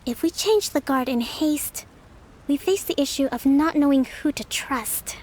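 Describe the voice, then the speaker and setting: High-voiced, composed young strategist. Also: female voice, lively intonation, darkish tone. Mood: grave, analytical. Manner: measured, formal, articulate. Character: composed, gentle young female leader and strategist. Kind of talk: video game voice acting. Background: faint game background music.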